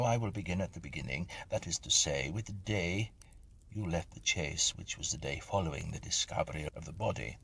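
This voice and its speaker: Deep, gravelly-voiced man narrating a ghost story. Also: fairly bright tone, slight background noise. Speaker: reader and narrator of ghost stories. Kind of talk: scripted dramatic narration of a Victorian ghost story.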